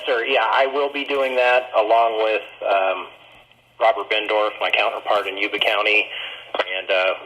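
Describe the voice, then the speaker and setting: Low, husky, forceful male official. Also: poor audio. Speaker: county administrator. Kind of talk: official report at a public meeting.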